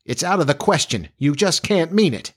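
A man voicing a sharp, no-nonsense farm mother in a story, scolding and exasperated in a brisk, folksy way. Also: fairly high pitch, very animated, slightly rough, voice pushed up. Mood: indignant.

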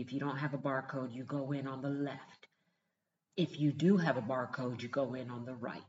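A woman's voice: low-pitched, muffled recording, slight background noise. An older woman telling a personal story in a casual, chatty self-help vlog, often laughing as she talks. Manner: chatty, laughs easily, animated retelling.

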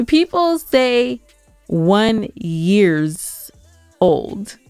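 A woman's voice: mid-range voice, sing-song, drawn-out words, a little mellow, smooth, no room sound. A woman co-hosts a casual podcast and likes to tease. Her talk is loose and conversational. The sound is dry, with faint music underneath. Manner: teasing. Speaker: podcast co-host. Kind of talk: casual banter with a co-host.

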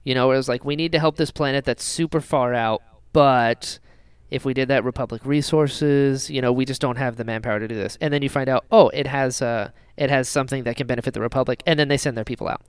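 A man's voice: mid-range voice, fairly even intonation, quick pace, clear, resonant tone, dry recording. A man hosting a casual fan discussion podcast, talking animatedly and often slipping into mock quotes of imagined dialogue. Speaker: podcast host.

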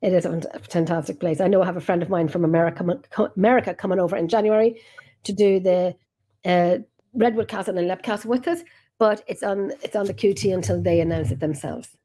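This is an Irish woman talking on a video call, her voice mellow and dark rather than bright. She rambles through stories with a chatty, self-interrupting flow, like a host settled into easy conversation. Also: lowish voice, steady tone, quick pace. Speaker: podcast host and paranormal investigator. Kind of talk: casual chat over video call.

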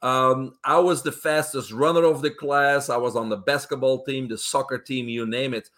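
A middle-aged man with a Belgian accent recounts his life as an interview guest. He talks in an easygoing way at a mid-range pitch and fills his pauses with uh and um. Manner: relaxed, many uh fillers. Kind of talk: interview storytelling.

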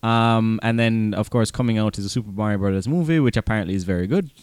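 A man's voice: on the low side, fast, a little mellow, smooth, no echo. A man hosting a podcast, chatting casually about movies and trading banter. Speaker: podcast host.

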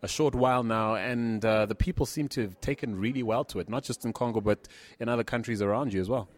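A man's voice: fairly low pitch, quick pace. A man hosting a conversational interview, putting questions to his guest. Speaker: interviewer and show host.

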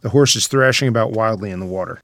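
A man's voice: on the low side, fast talker, a bit bright, no room sound, clean studio sound. A man reading out screenplay scene headings and stage directions as a scripted narrator.

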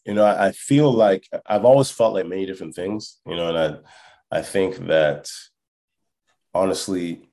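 Very low-voiced man, reflective and halting. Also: warm tone. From born in France, lived in Canada and Texas, Congolese family. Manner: reflective, halting, often checks the listener follows. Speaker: hip hop musician, interview guest. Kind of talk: casual podcast interview.

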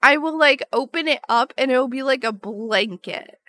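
High bright girl streamer on a podcast, says dude a lot, voice a bit worn. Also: moderate pace, dry recording. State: recovering voice. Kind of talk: casual chat.

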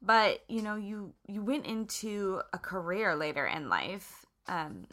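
A woman's voice: mid-range voice, lively intonation, a little slow, bright, forceful tone. A woman hosting a podcast, bubbly and chatty, with many "like"s and "you know"s. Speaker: podcast host. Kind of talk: casual interview chat.

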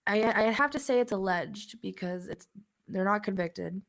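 A woman's voice: mid-range voice, normal speed. A woman co-hosting a casual podcast, chatty and laughing between points, sounding a little stuffy from a cold. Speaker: podcast co-host.

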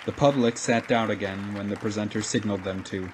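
A man's voice: lowish voice, fairly even intonation, fairly quick. A man narrating a scripted audio drama as a storyteller. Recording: crowd ambience and noise behind.

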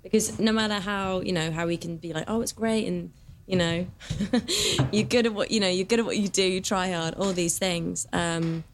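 Chatty, candid young woman, slightly low. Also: steady tone, normal speed, background noise. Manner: chatty, candid, many fillers. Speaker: actress, podcast guest. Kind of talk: casual conversation.

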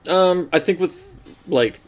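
A man's voice: high voice, slow, muffled recording. A man chatting on a film podcast, hesitant and full of fillers. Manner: hesitant, many fillers and likes. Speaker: podcast co-host. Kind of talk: casual film podcast chat.

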